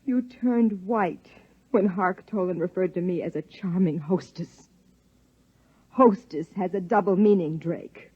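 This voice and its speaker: A defiant, intense woman scientist from an old radio play. Her husky voice comes through a dull, lo-fi 1950s recording. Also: on the low side, a little slow. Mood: accusing, defiant. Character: distraught, sharp-minded woman biologist, defiant wife. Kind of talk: 1950s radio drama acting.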